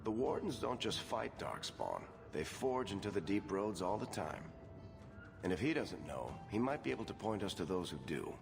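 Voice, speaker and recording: Wry fantasy dwarf merchant, deep and animated, game cutscene with music and reverb. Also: male voice, normal speed, vocal fry. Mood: dry.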